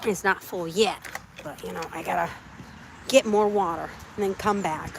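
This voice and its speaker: A woman's voice: fairly low pitch, steady tone, moderate pace, creaky, over loud music. A woman from Puerto Rico chatting with her live viewers while doing chores outdoors with her animals. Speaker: livestream host.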